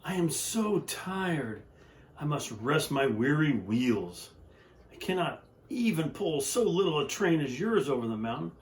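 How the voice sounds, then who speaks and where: A man's voice: a little high, sing-song, measured pace, roomy sound. Man doing a sighing weary old engine.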